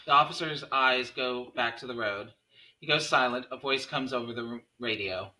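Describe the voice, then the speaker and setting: A man's voice: mid-range voice, normal speed, bright, forceful tone, rough recording. A man reading stage directions aloud as the narrator of a table read.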